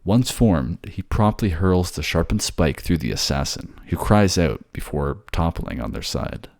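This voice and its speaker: A man reads scripted fantasy narration in an ASMR style. His voice sits very low and has a gravelly fry, with expressive movement in pitch. It addresses the listener as part of the story. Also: measured pace, dry recording, clean studio sound. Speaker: narrator and voice actor.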